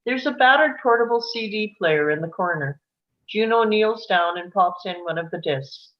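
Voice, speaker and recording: A woman's voice: medium pitch, expressive, moderate pace, highs cut off. A woman reading stage directions as scripted narration at a live table read.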